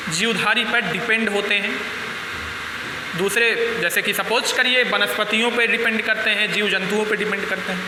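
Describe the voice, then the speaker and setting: Hoarse male teacher, high voice, echoey hall. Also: background noise. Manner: tongue stumbles now and then; addresses students as friends. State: hoarse, sore throat. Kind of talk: biology lecture.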